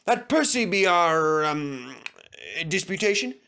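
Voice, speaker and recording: A man's voice: high-pitched, expressive, slow pace, pushed and bright, clear, resonant tone, pitched above the performer's own voice, full character voice. A man voicing a big, pompous, grumbling express engine in a children's story. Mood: self-important.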